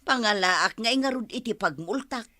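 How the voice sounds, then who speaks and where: A woman performs an excitable Ilocano village wife in an audio drama. Her voice sits high and is sharp and bright, with a worried, fretting edge, recorded very dry. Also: normal speed, no room sound. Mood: pleading. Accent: Filipino, Ilocano.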